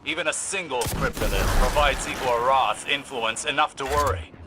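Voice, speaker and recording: A man's voice: medium pitch, moderate pace, noisy background, lightly acted. An actor playing a grave older male scholar-warrior in a video game, speaking formally and tersely with a portentous manner. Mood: commanding, ominous. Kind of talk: scripted video game dialogue.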